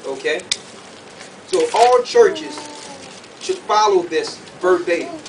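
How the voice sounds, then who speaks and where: A man's voice: high-pitched, very animated, slow. A man preaching and teaching scripture verse by verse in an emphatic, didactic way, often checking that listeners follow. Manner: emphatic, didactic, checks understanding with short questions. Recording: distant mic, faint background noise.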